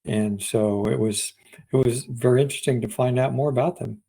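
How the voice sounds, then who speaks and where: A man's voice: medium pitch, steady tone, normal speed, vocal fry, warm tone, breathy edge, poor audio. A man co-hosting a casual, conversational discussion of computer history. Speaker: podcast co-host.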